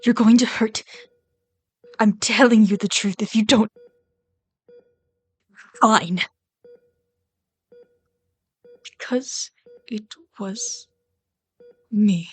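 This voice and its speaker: Slow, intense woman acting a lovelorn villain. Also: medium pitch. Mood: guarded, exasperated. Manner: intense, deliberate, often dropping into breathy whispers. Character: bitter, lovelorn villain pleading with a hero's wounded sidekick. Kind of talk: intimate ASMR roleplay acting.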